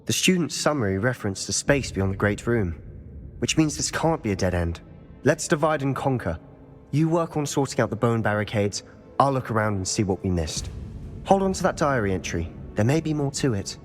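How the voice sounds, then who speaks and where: A man's voice: medium pitch, lively intonation, fairly quick, soft background music, lightly acted. A man playing an eager, loyal teenage wizard schoolboy in a video game, earnest and conspiratorial, with a British accent. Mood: focused, thoughtful. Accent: British English.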